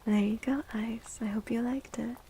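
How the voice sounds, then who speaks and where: Female ASMR streamer, soothing and whispery, with creaky edges and a mellow tone, close-miked over faint noise. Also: fairly high pitch, fairly even intonation. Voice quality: breathy, often whispery. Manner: gentle, soothing, unhurried. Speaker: livestreamer reading tarot for viewers. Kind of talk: soft-spoken ASMR.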